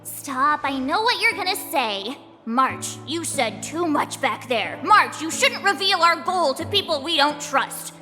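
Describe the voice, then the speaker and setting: Very high-pitched bubbly teenage adventurer girl. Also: big pitch swings, a little slow, hardly any pauses, bright, forceful tone, big-room sound, faint music behind, full character voice. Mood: playful, excited. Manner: breezy, slangy, exclamatory. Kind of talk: English video game voice acting.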